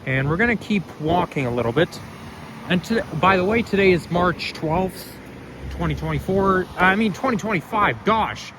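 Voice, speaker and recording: A young man with a loud voice, rambling and correcting himself as he talks through a casual walking vlog, recorded on a phone camera outdoors. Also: high-pitched, animated, normal speed. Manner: rambling, chatty, self-correcting. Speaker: vlogger. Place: outdoors on a street. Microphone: phone camera held while walking. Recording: outdoor street noise.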